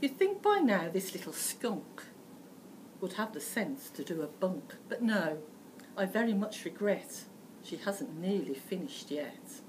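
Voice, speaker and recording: A woman's voice: lowish voice, big pitch swings. A British woman reading a rhyming storybook aloud like a teacher, theatrical and emphatic, with some background noise. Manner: theatrical, emphatic, deliberate phrasing. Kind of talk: dramatic rhyming storybook narration.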